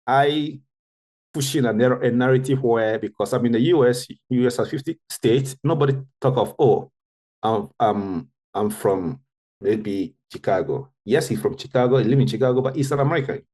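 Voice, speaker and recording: A man's voice: medium pitch, normal speed, dark tone. A man from Ghana, speaking as a tech founder on a roundtable, earnest and often restarting to correct himself. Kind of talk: roundtable discussion.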